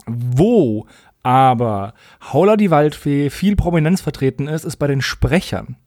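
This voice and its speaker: Higher-voiced man chatting, very dry sound. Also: animated, measured pace, a little mellow, dry recording. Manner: opinionated, colloquial. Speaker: podcast co-host. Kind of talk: casual podcast chat.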